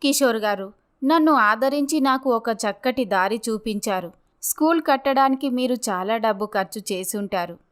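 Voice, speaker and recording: Woman acting a clear-voiced, dignified young mother. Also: on the high side, normal speed, close to an everyday voice. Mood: grateful, resolved. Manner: polite, earnest. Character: sorrowful, grateful, dignified abandoned wife and young mother.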